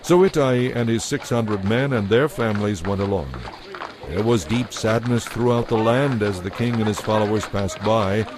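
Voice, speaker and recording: A man's voice: on the low side, normal speed, noisy background. A man narrating a dramatized Bible story from a script.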